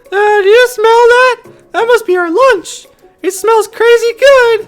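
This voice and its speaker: A man voicing an excitable, food-loving student in a squeaky falsetto for a video game. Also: measured pace. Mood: excited. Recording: music behind.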